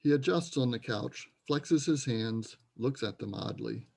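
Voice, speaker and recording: A man's voice: medium pitch, expressive, measured pace, vocal fry, a little mellow, husky. A man reading the stage directions as narrator of a readers' theater play, in scripted narration.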